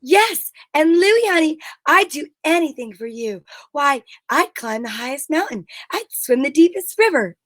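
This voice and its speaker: An extremely high, sugary sweetheart as a woman acts her out. She gushes adoringly and melodramatically in old-time radio comedy style. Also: sing-song, unhurried, hardly any pauses, no room sound. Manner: sugary, effusive.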